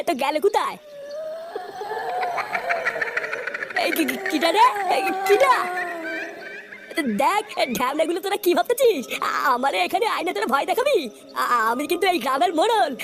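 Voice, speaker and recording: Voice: very high-pitched, lively intonation, fairly quick, background noise. A performer voicing a grumpy, greedy, cowardly village headman in a comic cartoon dub. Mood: frightened.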